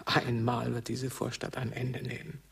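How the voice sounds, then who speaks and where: A man's voice: mid-range voice, monotone, a little slow, creaky. An actor playing a melancholy, questioning male wanderer in a radio drama, speaking in brooding, poetic phrases. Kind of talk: German radio drama acting. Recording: somewhat distant from microphone.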